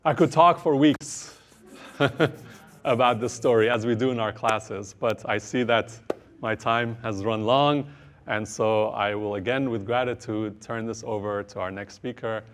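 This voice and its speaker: A man presenting at a conference, wrapping up his talk in a measured way. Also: lowish voice, fairly bright tone. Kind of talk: closing a talk. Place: lecture hall.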